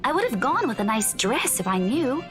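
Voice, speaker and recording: An actress playing a flustered young party guest in a visual novel, hesitant and defensive. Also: female voice, a little high, big pitch swings, normal speed. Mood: awkward. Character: flustered, casually dressed young woman at a party. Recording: music behind the voice.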